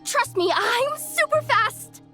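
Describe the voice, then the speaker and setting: A woman's voice: very high-pitched, animated, slow pace, sharp tone, faint music behind, exaggerated voice. A woman voicing an eager, easily flustered young girl courier in a video game. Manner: hesitant stammering, eager to please.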